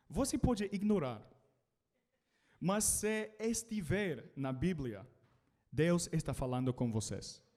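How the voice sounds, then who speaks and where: British man preaching in learner Portuguese. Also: sits high. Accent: British English. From Britain. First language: English. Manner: animated, calls out to the congregation.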